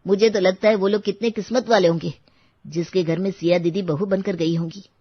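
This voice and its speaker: A man's voice: high voice, fairly even intonation, brisk, dry recording. Man acting earnest thankful dad in a cartoon story, emphatic, close dry sound, bit muffled. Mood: earnest gratitude. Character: grateful husband and father, protective employer. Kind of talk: Hindi animated moral story voice acting.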